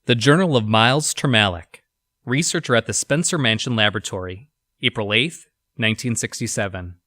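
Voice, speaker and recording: A man's voice: medium pitch, fairly quick, bright, forceful tone, dry recording, studio quality, natural voice. A man playing an arrogant, antisocial virus researcher reading his journal in an audio drama, smug and grudging.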